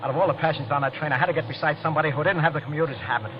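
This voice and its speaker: A man's voice: on the high side, close to an everyday voice. An actor playing a guilty, panicky man on the run after a killing, narrating in the first person in old radio drama style, tense and prone to stammering. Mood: haunted, brooding. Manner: tense, hurried, stammering when questioned. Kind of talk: 1940s-50s radio acting, first-person thriller narration. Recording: dull old radio broadcast with music.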